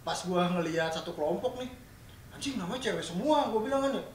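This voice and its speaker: A man's voice: high-pitched, lively intonation, brisk. A man in his late twenties from Sunda, co-hosting a podcast. He tells stories in a joking, casual way and swears freely. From Sunda, Indonesia. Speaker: podcast co-host. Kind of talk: casual chat, storytelling. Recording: roomy echo, far from the mic, faint background noise.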